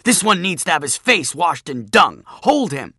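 Man acting a shrill, blustering boy bully. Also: sing-song, normal speed, slightly rough, no echo, studio quality, exaggerated voice. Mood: angry. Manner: shouting, indignant, blustering. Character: cruel, pompous young village bully boy, panicky and shrill.

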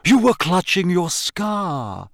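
Guy doing a dreamy dramatic lady seer teacher for a storybook, high lilting voice, very theatrical. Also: huge pitch swings, slow pace, creaky, a bit bright, dry recording, clean studio sound, exaggerated voice. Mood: excited, eager. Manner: theatrical, eager, portentous. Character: dramatic, mystical woman divination teacher.

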